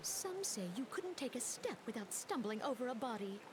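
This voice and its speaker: A woman's voice: a little high, very animated, moderate pace, background noise. A woman playing a solemn, gracious leader of a forest nation, giving stately, formal oratory in a fantasy game. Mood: solemn pride. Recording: loud music under the speech.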